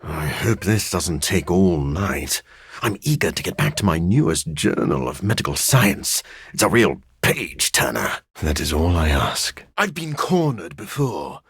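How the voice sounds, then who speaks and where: A man playing a brooding, cerebral vampire scientist in a video game, with a husky voice and a dry, formal, measured way of speaking. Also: sits low, big pitch swings, no room sound, heavily acted. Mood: composed, wry.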